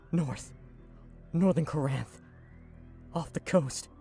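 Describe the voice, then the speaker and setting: A man acting a traumatized young fugitive in an audio drama, speaking haltingly and hesitantly over music. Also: fairly high pitch, dark tone. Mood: weary, subdued. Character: traumatized shape-shifting fugitive lad, Lamar, recalling captors. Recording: music under the voice.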